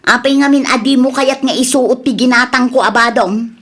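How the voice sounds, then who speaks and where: A woman playing a spendthrift, sharp-tongued wife in an Ilocano comedy radio drama, with an Ilocano accent and a teasing, bickering way of talking. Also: high-pitched, steady tone, moderate pace. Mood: indignant.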